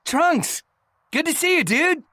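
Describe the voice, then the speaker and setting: A woman's voice: sits high, animated, slow pace, sharp tone, slight background noise, heavily acted. A woman voicing an evasive, slightly cocky young son in an anime game, casual and hesitant. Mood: reluctant, cocky.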